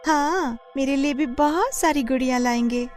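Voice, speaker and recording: A woman voicing an eager, excitable small child with a wide-eyed, insistent manner. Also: sits high, normal speed, faint music behind, pitched above the performer's own voice, lightly acted.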